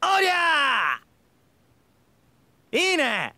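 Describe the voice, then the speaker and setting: A man's voice: extremely high pitch, huge pitch swings, no room sound, exaggerated voice. A man voicing a hyperactive, goofy, cheerful young man in an anime mobile game, with exaggerated delivery and abrupt bursts. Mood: manic, excited. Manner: manic, shouting, drawn-out yells, abrupt bursts.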